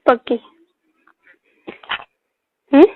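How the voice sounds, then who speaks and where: A woman's voice: drawn-out words, slight background noise. A woman with a Marwari accent talks casually on the phone in short replies and repeated questions. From Rajasthan, India. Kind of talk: casual private phone chat. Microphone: telephone. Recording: muffled lo-fi phone call recording.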